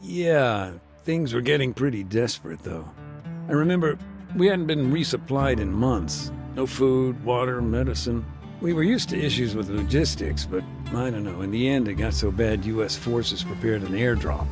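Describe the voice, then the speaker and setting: An actor playing a middle-aged, war-weary former peacekeeper, speaking plainly with military jargon and dry humor, in a game cutscene. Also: male voice, mid-range voice, animated, normal speed, natural voice. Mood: calm. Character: war-weary male ex-peacekeeper telling his story. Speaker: interviewee. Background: music.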